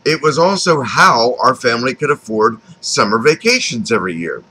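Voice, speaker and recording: A man in his fifties from Texas with an American accent reads his own autobiography aloud, phrasing it deliberately with frequent pauses. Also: mid-range voice, sing-song, bright, forceful tone, husky. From Texas, United States. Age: 50s. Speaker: author reading his own memoir. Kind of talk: scripted autobiographical narration, read aloud.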